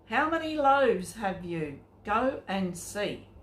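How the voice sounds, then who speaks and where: A woman storyteller voicing a calm, commanding male teacher in a Bible story, giving clear instructions. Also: mid-range voice, slow pace. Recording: echoey room with faint noise.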